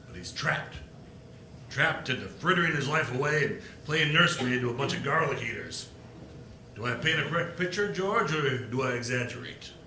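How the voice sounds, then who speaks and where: A man's voice: medium pitch, animated, normal speed, pushed and bright. An actor playing a scheming, domineering old rich businessman in a live 1940s-style radio play, condescending and irascible. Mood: sly, calculating. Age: older. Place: live stage performance. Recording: roomy stage sound, faint noise.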